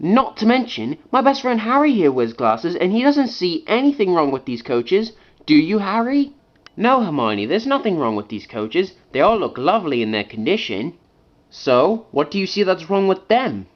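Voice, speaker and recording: A man's voice: sits high, sing-song, normal speed, dull audio. A man voicing offended but polite train passengers in a cartoon, protesting as they speak. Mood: puzzled.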